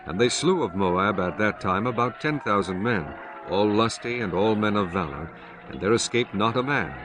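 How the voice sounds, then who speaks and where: Male narrator, lowish pitch, measured, solemn, scripted bible reading, faint music bed. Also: expressive.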